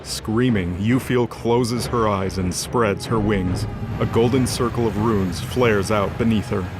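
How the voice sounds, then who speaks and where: A man's voice: fairly low pitch, measured pace, noisy background. A man narrating a scripted fantasy audio drama in a dramatic storytelling style. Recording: loud music under the voice.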